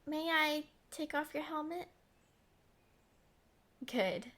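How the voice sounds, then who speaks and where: Woman acting a high-voiced, coy, smitten princess. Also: drawn-out words, a bit bright, exaggerated voice. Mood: tender, smitten. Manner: coy, entitled, playfully defensive. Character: smitten, possessive, spoiled young princess. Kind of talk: scripted romantic roleplay audio.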